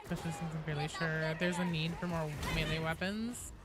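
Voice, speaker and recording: A woman streaming a game and chatting casually with viewers in a rambling, sweary, animated way. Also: low-pitched, a little fast. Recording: clearly noisy background.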